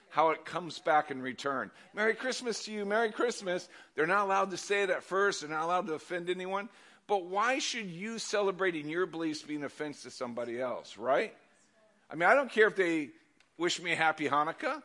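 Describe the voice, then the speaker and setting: Older male pastor, loud and animated, bright forceful tone, slightly high voice. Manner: animated, loud storytelling with asides. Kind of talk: sermon.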